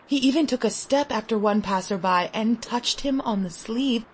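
A woman narrating a literary short story in a deliberate, expressive, dramatic way with long pauses. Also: fairly high pitch, slight background noise. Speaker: audiobook story reader.

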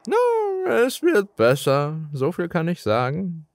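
A man voicing a mysterious older man in a horror story, slyly friendly, with a slow, drawn-out delivery. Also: high-pitched, huge pitch swings, dry recording, voice pushed up, full character voice. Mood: amused, sly. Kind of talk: horror audio story character acting.

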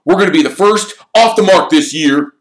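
A man's voice: on the high side, normal speed, voice pushed up, natural voice. A man voicing a burly, fanatically keen teenage team captain who talks in hearty, bossy bursts. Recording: roomy echo.

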